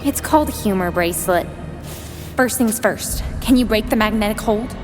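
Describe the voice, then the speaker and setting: A woman's voice: mid-range voice, normal speed, big-room sound, over music, lightly acted. An actress playing a plucky, eager young female superhero in an audio drama. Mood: eager, focused. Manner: eager, bold.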